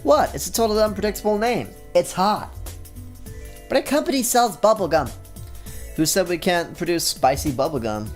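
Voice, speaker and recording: A cheeky, loud-mouthed teen girl in a game, teasing her friends with slangy cracks, as a man reading aloud puts her on. The voice sits higher than a plain speaking voice and hits its lines with extra force. Faint music runs underneath. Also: expressive, normal speed. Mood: defiant.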